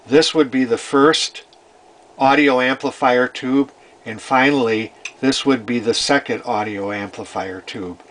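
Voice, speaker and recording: A man giving an informal, hands-on technical explanation as a repair hobbyist, methodical but halting, often repeating phrases. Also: mid-range voice, fairly bright tone. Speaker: vintage radio repair hobbyist presenting.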